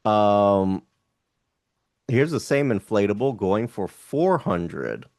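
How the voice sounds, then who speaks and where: A man's voice: fairly low pitch, lively intonation, slow pace, gravelly, a little mellow, no room sound, clean studio sound. A man co-hosting a casual podcast, chatting, joking and reading prices aloud as he browses online shops. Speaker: podcast co-host.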